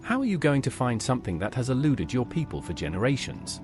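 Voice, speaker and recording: A man's voice: medium pitch, wildly swooping pitch, quick pace. A man as an earnest adventurer with swooping intonation. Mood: inquisitive. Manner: polite, questioning. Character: earnest, inquisitive male outsider adventurer. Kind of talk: AI-voiced fantasy game dialogue. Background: game music.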